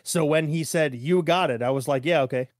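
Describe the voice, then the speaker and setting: A man with a slightly high voice chats as a podcast co-host. He is informal and matter-of-fact, recorded dry with very little room sound. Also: brisk, dry recording. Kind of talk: casual chat, discussion.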